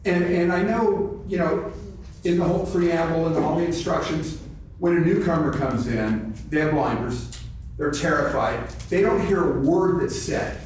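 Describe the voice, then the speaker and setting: A man's voice: on the high side, moderate pace, darkish tone. A man sharing informally in a group discussion, conversational and hesitant, often repeating his phrases. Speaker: twelve-step meeting member sharing. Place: large echoey indoor convention room. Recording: echoey room, distant mic, faint noise.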